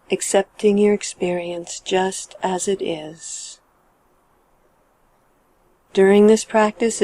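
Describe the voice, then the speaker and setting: A woman guiding a meditation in a calm, measured and gentle manner, letting her sentence endings trail off. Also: medium pitch, no echo. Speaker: meditation guide. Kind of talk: guided meditation narration.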